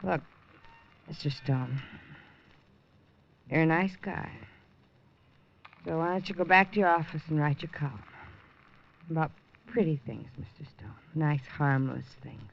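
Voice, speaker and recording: An actress playing a boozy nightclub dancer who turns scared and defensive, in 1950s radio drama style. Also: female voice, low-pitched, measured pace, creaky, pauses often, slight background noise. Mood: warning. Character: boozy showgirl in a cheap club, tough and scared. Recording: dull old radio broadcast.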